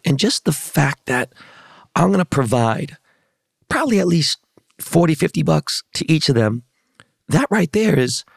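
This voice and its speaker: A hoarse man hosting a podcast in casual chat, talking in slangy, profane, hyped-up street style. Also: a little high, no room sound, studio quality. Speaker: podcast host.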